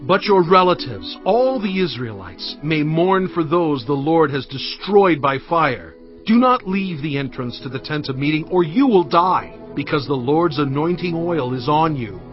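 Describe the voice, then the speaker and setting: A man's voice: a little high, expressive, unhurried, lo-fi sound. A man playing a stern, commanding prophet in a dramatised audio Bible, speaking in a solemn, emphatic, declamatory way. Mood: stern warning. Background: background music.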